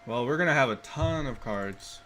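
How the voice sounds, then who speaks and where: A man in his twenties giving casual gameplay commentary from a room, thinking out loud and swearing in quick bursts of excitement. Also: mid-range voice, background noise. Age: 20s. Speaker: streamer playing a card game. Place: room indoors.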